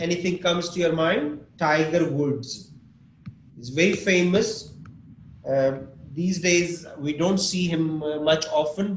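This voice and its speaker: Man, English teacher, slow and patient, slightly high voice, echoey room mic. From Pakistan. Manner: slow, patient, explains with examples and asks students questions. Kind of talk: online class lesson. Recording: echoey room with faint noise.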